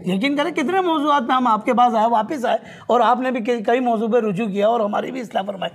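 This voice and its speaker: A man's voice: very high voice, brisk, creaky. A man speaking as a deferential, eager student in a respectful religious conversation. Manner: deferential, eager, often addresses the teacher respectfully. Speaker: student in discussion with his teacher.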